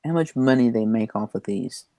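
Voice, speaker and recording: A man's voice: medium pitch, expressive, moderate pace, dark tone, dry recording. A man chatting casually as one of the panelists on a podcast. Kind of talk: casual chat.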